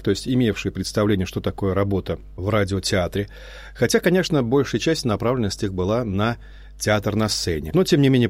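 A man's voice: lowish voice, a little mellow, no echo. A man directing a radio theatre, giving a reflective spoken introduction to a radio play, explaining at length with hesitant fillers.

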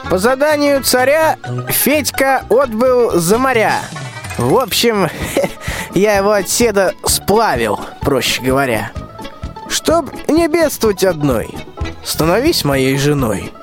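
A man's voice: sits high, sing-song, slow, music in the background, heavily acted. A male amateur actor playing a bossy, lovestruck tsar in a rhymed folk-tale radio play, declaiming pompously. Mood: pompous wooing. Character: blustering lovestruck old tsar, bossy and wheedling.

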